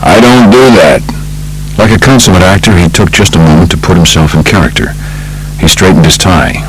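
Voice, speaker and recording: A man with an American accent reading a true crime audiobook in a measured, polished storytelling manner. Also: low-pitched, faint music behind, poor audio. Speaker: audiobook narrator. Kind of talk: scripted narration of true crime book.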